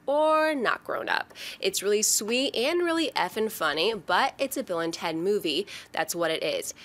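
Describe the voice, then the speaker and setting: A woman's voice: mid-range voice, a bit bright. A woman hosting a talk show, presenting news and reading out quotes in a scripted style. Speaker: show host.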